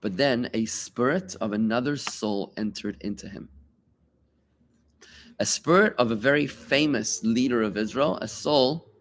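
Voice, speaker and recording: Animated rabbi teaching a Talmud class. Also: male voice, mid-range voice, a little slow. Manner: animated, rhetorical questions, conversational asides to students. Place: class with students present.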